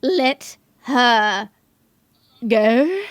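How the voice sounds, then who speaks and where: Woman acting villainous pirate captain, pompous, archaic, high-pitched, very slow delivery, dry close sound, audio drama. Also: animated, no echo. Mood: outraged. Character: grandiose, menacing male pirate captain, theatrical villain.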